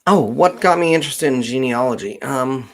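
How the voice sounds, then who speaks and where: A man hosting an unscripted livestream Q&A, speaking haltingly as he thinks aloud. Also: medium pitch, expressive. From Canada. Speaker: genealogist hosting a live Q&A.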